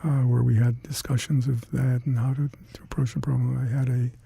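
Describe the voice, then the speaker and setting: An older man with Hungarian as his first language, recalling past work in an oral history interview, halting and reflective with many fillers and restarts. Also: mid-range voice, level tone, gravelly, mellow, breathy edge, dry recording. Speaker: interviewee, retired scientist.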